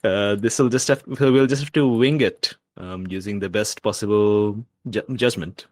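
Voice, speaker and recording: Low-voiced man in casual game chat. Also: fairly even intonation, moderate pace, no room sound. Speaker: player in a tabletop role-playing game.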